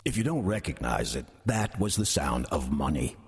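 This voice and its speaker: An actor playing a sly, ancient demon posing as an old church usher, wry and sardonic, with an American accent, in an audio drama. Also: male voice, rumbling low, sing-song, heavy background noise, heavily acted. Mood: sardonic musing. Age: older. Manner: wry, sardonic, deliberate storytelling. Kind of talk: audio drama first-person narration.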